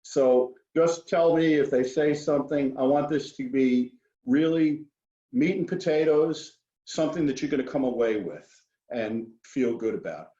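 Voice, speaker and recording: An echoey, slightly muffled recording of a man with a New York accent and a mid-pitched voice. He presents as a guest expert at an informal seminar, with a folksy delivery and joking asides. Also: measured pace, warm tone. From Queens, New York. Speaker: guest speaker, wealth management expert. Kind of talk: informal financial seminar talk. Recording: dull lo-fi audio, roomy echo.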